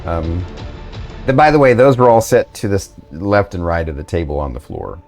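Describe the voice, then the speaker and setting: A man with a very low voice runs a tabletop game and describes the scene in a loose, rambling way. Clear music plays under his voice. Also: sing-song, moderate pace, a little mellow. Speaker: game master. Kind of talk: running a tabletop game stream.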